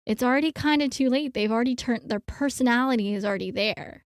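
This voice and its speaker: Chatty woman co-host, high voice. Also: fast talker, no room sound, studio quality. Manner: chatty, rambling with many fillers. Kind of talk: casual chat.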